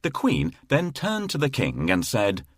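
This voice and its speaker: A man narrating a children's fairy tale in a measured, expressive storytelling manner with a husky voice. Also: mid-range voice, no echo, studio quality. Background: faint music.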